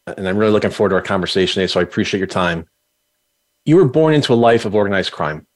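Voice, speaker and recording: A man's voice: low voice, very fast. A man hosting an interview podcast, reading a formal guest introduction and asking questions steadily. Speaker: podcast host.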